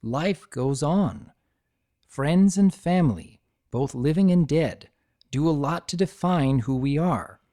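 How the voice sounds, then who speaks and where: A man's voice: a little high, expressive, slow pace, mellow. A man hosting a story reading, delivering scripted commentary in an earnest, emphatic manner. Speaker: fan fiction reader and channel host.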